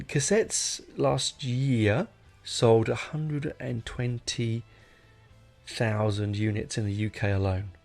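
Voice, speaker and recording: A man's voice: mid-range voice, vocal fry, a little mellow. A middle-aged man hosting a podcast in a casual, unscripted way, wandering off on tangents and correcting himself as he goes. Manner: rambling, digressive, self-correcting. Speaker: podcast host.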